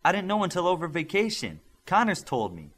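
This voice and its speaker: A man's voice: high-pitched, huge pitch swings, brisk, a bit bright, voice pushed up, full character voice. A man voicing a young male superhero with great hidden power who talks in a dry, understated way. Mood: wry.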